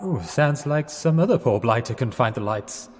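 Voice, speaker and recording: A man's voice: mid-range voice, lively intonation, normal speed, very noisy, lightly acted. An actor playing a bumbling, apologetic Englishman in a period radio drama, with an English accent and a hesitant, flustered, polite way of talking. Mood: sheepish. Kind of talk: 1920s-set radio drama acting. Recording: reverberant hall-like space.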